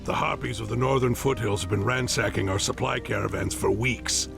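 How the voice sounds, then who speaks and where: Gruff orc soldier: very gravelly, dutiful, formal fantasy game captain, over music. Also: male voice, lowish voice, brisk. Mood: stern, businesslike. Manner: formal, military. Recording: music behind the voice.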